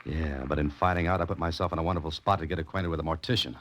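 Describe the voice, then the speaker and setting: A man's voice: a bass voice, fairly even intonation, very fast, fairly bright tone. An American man playing a tough, wry adventurer-sleuth in a 1940s radio suspense drama, with a clipped, insistent delivery. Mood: wry, pressing. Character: tough, wry male adventurer-sleuth, narrating and pressing for answers. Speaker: lead actor and narrator. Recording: noisy, muffled vintage 1940s radio recording.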